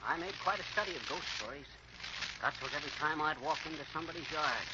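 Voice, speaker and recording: A man's voice: a little high, lively intonation, quick pace, natural voice. A man playing a boastful, prank-loving joker in an old radio comedy, with folksy, wordy patter. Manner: folksy bragging, tongue-twisting alliterative patter. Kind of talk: 1940s radio comedy acting. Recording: muffled, very noisy old radio recording.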